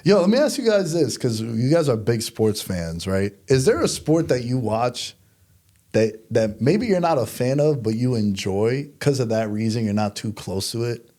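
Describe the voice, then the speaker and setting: A man's voice: medium pitch, very animated, normal speed, warm tone. A man with a New York accent hosting a casual sports chat in slangy, emphatic talk. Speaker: sports talk show host.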